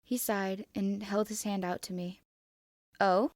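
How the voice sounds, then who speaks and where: A woman reading fan fiction aloud as expressive first-person narration. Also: mid-range voice, moderate pace, no room sound, clean studio sound.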